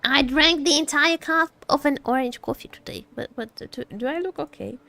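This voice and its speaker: A woman's voice: sits high, moderate pace, vocal fry, pushed and bright. A woman streaming as a VTuber, chatting casually about a game in a teasing, joking, giggly way. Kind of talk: casual livestream chat reacting to a game.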